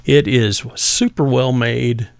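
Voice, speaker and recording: A man giving a casual, conversational product demonstration as a gadget reviewer, speaking hesitantly with pauses mid-phrase. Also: mid-range voice, vocal fry, clear, resonant tone, no room sound.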